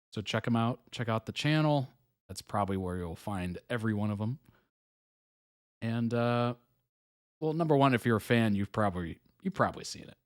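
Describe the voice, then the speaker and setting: A man's voice: medium pitch, no echo, clean studio sound. A man hosting a live reaction stream, talking casually and chuckling often between comments. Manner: laid-back, chuckles often, trails off with fillers. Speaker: reaction video host.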